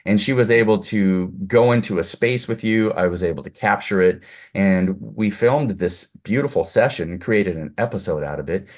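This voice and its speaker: A man's voice: lowish voice, moderate pace, few pauses. Effusive male interview host. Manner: effusive, complimentary. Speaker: host, producer. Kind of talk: casual interview chat.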